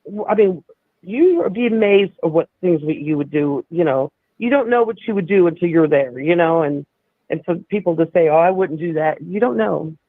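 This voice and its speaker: Raspy, hoarse man on a phone line. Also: on the high side, animated, no room sound. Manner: halting, restarts often, agreeable. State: hoarse from being sick. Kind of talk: casual chat.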